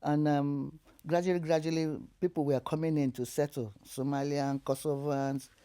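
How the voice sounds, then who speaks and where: An older Nigerian woman recounts her past as an interview guest. Her voice is mellow and warm, and she tells even hard memories in an animated way, laughing as she goes. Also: low-pitched, moderate pace. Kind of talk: recounting her life story.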